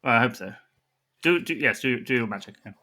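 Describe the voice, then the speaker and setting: Bright, forceful, theatrical man. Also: mid-range voice, lively intonation, brisk. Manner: flustered, hammy, dramatic delivery. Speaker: performer in an improvised comedy audio drama. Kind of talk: theatrical improvised narration and banter.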